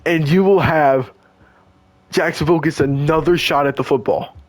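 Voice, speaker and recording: A young man, hoarse and losing his voice, doing casual, slangy play-by-play of a video game football match. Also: fairly high pitch, normal speed, no room sound. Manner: excitable, slangy, stumbles and restarts. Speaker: play-by-play commentator.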